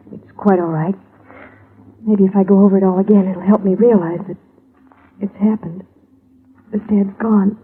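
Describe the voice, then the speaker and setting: Through a tinny, narrow old radio line comes a young woman in mourning, played by an actress. Her voice is husky, and she speaks earnestly and politely, with a measured, subdued air. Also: medium pitch, fairly even intonation, close to an everyday voice. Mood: subdued, grieving. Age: young adult. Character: grieving, polite young woman, murdered man's daughter. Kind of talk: 1950s radio drama acting.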